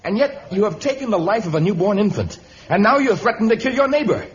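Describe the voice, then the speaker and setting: Noble jungle lord, earnest and formal, big swings in pitch, muffled vintage radio drama. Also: male voice, sing-song, fast talker. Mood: commanding, indignant. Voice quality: formal, declamatory delivery. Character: noble, commanding jungle hero, earnest and defiant. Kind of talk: 1950s-style radio adventure acting. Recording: muffled old radio recording.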